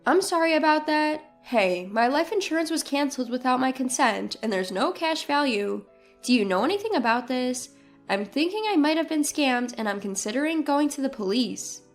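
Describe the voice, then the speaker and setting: High, pointed betrayed wife played by a woman. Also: lively intonation, moderate pace, faint music behind. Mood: feigned concern. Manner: pointed, questioning. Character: betrayed, sharp-tongued wife and mother confronting her husband.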